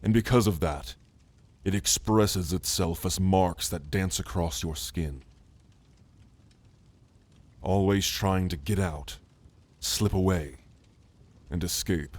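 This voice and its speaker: A man voicing a calm, scholarly orc shaman and gentle mentor, speaking in a soothing, measured, formal way in an ASMR roleplay. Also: low-pitched. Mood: calm, hypnotic.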